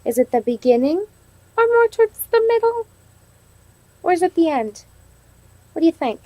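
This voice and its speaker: A woman reading aloud to a class as a teacher, pausing to ask questions and affirm answers. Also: extremely high pitch, very animated, dry recording, dull audio. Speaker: elementary teacher practicing a read-aloud lesson.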